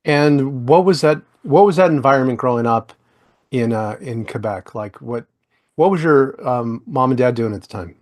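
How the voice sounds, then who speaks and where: A man hosting a podcast interview with a voice of middling pitch. He talks in a friendly, chatty way and leans on fillers like "like" and "you know". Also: normal speed, a little mellow. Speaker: podcast host. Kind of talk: casual interview chat.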